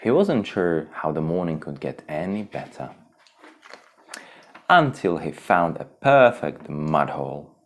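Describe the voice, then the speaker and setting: A man reads a children's story in a very low voice. His delivery is unhurried and expressive, with big swings in pitch that give the storybook a theatrical feel. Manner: theatrical, expressive, acts out exclamations. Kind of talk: animated storybook narration.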